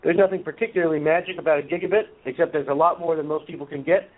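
A man with a hoarse voice from a cold, a guest in an interview, explaining at a measured pace with many filler pauses. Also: a little high, fairly even intonation. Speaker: guest, nonprofit executive. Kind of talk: conversational interview answers. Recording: phone-line audio.

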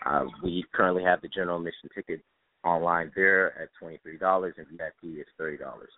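A man speaking as an interview guest, casual and informative, with halting delivery. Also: low voice, steady tone. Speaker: guest, stage actor. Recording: roomy tinny phone line.

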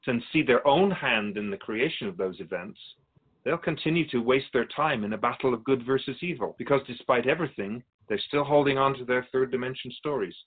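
An actor playing a calm, wise, otherworldly keeper of souls who teaches in a measured, explanatory way as a guest in a radio drama. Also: male voice, mid-range voice, husky, narrow, tinny audio, natural voice. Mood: firm, explaining.

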